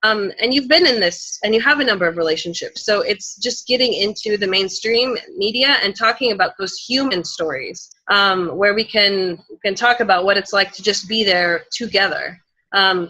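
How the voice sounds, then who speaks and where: A woman's voice: mid-range voice, pushed and bright, low-quality recording. A woman speaking informally and conversationally as a panelist, often dropping "like" into her sentences. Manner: informal, frequent use of "like". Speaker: panelist, community organizer. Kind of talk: conversational panel discussion answer.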